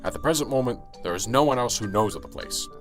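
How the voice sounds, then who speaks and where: A man's voice: mid-range voice, lively intonation, fast talker, faint music behind, lightly acted. A man playing an earnest, exasperated police sergeant in an amateur radio drama, firm and reproving.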